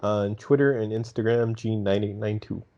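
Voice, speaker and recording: A man's voice: lowish voice, steady tone, moderate pace, dark tone. A man co-hosting a podcast in casual conversation, with an easygoing, agreeable manner, on slightly dull audio. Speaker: podcast co-host.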